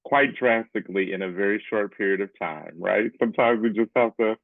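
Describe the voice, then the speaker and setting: A man presents an informal online session in a low-pitched voice. He is conversational and keeps checking that listeners follow. The sound is narrow and phone-like, close and dry, as from a video call. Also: brisk, gravelly, no echo. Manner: conversational, often checks in with "right?". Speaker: presenter, public affairs specialist. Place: small room at home, indoors. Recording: muffled phone-like webcam audio.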